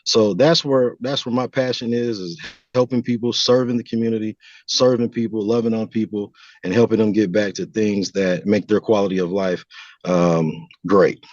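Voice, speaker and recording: A man's voice: fairly low pitch, steady tone, moderate pace, a little mellow, no echo, highs cut off. An American man from California giving earnest, explanatory answers as a guest in a conversational interview. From California, United States. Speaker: podcast guest.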